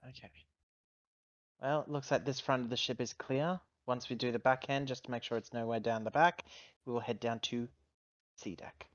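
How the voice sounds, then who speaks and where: A man's voice: mid-range voice, steady tone, moderate pace. Male tabletop player, mid-range voice, informal and deliberating, on a muffled recording. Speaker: player in a tabletop roleplaying game. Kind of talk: casual game chat, thinking aloud.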